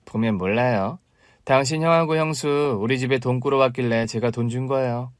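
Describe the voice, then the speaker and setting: A man's voice: medium pitch, moderate pace, no echo, studio quality, lightly acted. A man putting on a scornful sister-in-law. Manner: sharp, mocking, later stammering in fear. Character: arrogant scornful younger sister-in-law, woman.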